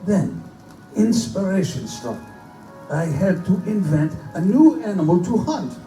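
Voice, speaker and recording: A man acts out a proud Russian nobleman and general who lives for the hunt. His delivery is courtly, boastful and theatrical, in a somewhat high male voice. It is a live pulp drama heard in a reverberant room with clear music underneath. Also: big pitch swings, a little slow, dark tone, far from the mic. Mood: proud, reminiscing. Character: suave, aristocratic Russian general, cold obsessive big-game hunter. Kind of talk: live dramatized pulp-fiction stage reading. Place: bookstore live performance before microphone.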